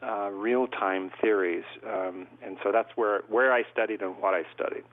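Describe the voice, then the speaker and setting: A man's voice: on the low side, steady tone, normal speed. A middle-aged man from California, a court reporter and interview guest, telling long stories in a thoughtful, conversational way. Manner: thoughtful, long storytelling answers. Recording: tinny phone-like line.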